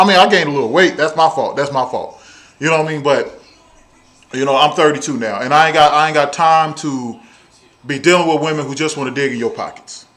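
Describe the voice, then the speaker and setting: A man in his thirties speaks in a distinctly high voice with a Southern American accent. He rants casually like a video blogger, swearing freely. His delivery is blunt and emphatic, and he hammers phrases by repeating them. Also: fairly bright tone. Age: 30s. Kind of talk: casual storytelling rant to viewers.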